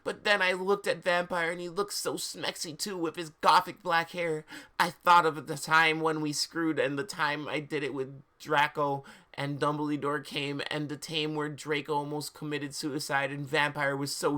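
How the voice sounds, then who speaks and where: A man with a fairly high, bright and forceful voice hosts a reading stream. He reads fan fiction with theatrical drama and chats casually with his audience. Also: little pitch movement, moderate pace.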